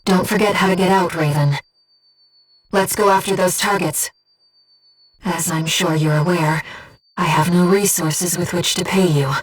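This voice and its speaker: A woman playing a calm, devoted disembodied companion who guides a pilot in a video game, speaking in a measured, earnest, gently formal way. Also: lowish voice, breathy edge, far from the mic, faint music behind, low-quality recording. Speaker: mission support companion. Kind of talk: scripted video game voice acting.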